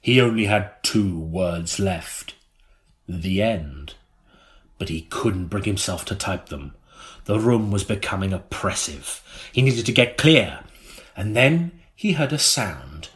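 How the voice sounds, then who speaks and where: A man narrating an audiobook in a theatrical, melodramatic style, with dramatic pauses. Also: sits low, lively intonation, fairly bright tone.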